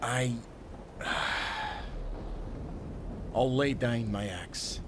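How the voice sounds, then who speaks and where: A man's voice: mid-range voice, drawn-out words, very noisy. A man playing a brave, resolute sailor hero in an audio drama, with an earnest, dramatic delivery. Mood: grim, determined. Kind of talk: cinematic audio roleplay acting.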